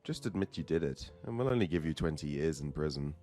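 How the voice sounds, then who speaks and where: A man voices a smug courtroom prosecutor in an audio drama with a very deep voice. He is formal and menacing, gloating over his opponent, with faint music behind him. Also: animated, fast talker, mellow. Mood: coldly pressuring. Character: gloating, cynical male prosecutor out for conviction.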